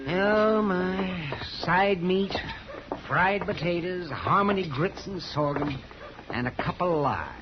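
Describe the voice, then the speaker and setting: A crotchety older American frontier doctor, grumbling and sardonic. The voice is high-pitched and very animated, on a dull old radio western recording. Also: male voice, takes it slowly, over loud music. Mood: wry grumbling. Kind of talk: 1950s radio western acting.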